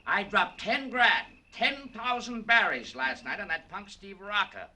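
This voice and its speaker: An actor playing a bossy, irritable American fight-racket boss with slangy talk in a 1940s radio drama. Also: male voice, sits high, lively intonation, measured pace, bright, forceful tone, full character voice. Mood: angry. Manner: gruff, bullying, slangy. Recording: hissy vintage radio broadcast recording.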